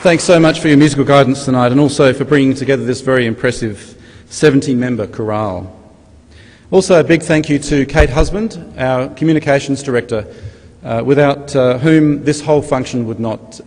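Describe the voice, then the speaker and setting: A man's voice: medium pitch, a little fast, darkish tone. A man speaks as master of ceremonies, giving formal thanks and announcements at an event. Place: large university hall. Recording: reverberant hall, faint ambient noise.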